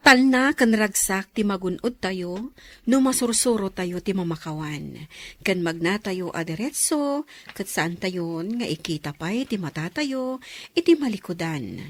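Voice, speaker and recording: A woman's voice: medium pitch, animated, measured pace, no room sound. A woman with an Ilocano accent giving scripted inspirational narration as the program's narrator.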